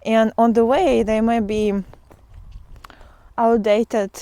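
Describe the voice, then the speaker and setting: A woman giving an informal spiritual talk to followers in a halting, reflective way with drawn-out pauses, as a vlogger out on a walk. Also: on the high side, steady tone, dark tone.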